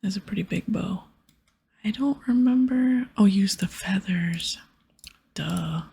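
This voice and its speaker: A woman giving hushed, breathy ASMR commentary as she plays a game, mostly whispering with stretches of soft speech. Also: mid-range voice, slow pace. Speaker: ASMR gaming streamer.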